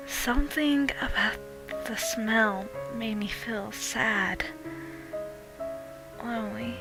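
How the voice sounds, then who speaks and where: A woman's voice: on the high side, slow pace, low-quality recording. A young adult woman reading a first-person horror story as dramatic scripted narration. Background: music.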